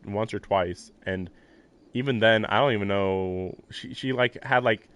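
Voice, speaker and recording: A man's voice: lowish voice, a little slow, a bit bright. A man livestreaming a visual novel, reading the narration aloud and adding rambling, amused casual commentary. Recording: game music playing behind. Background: video game music.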